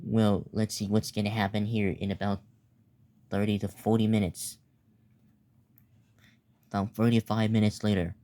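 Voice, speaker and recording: A young man narrating a mock emergency announcement in a halting way, stumbling and repeating words. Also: lowish voice, flat delivery, warm tone. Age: teenager or young adult.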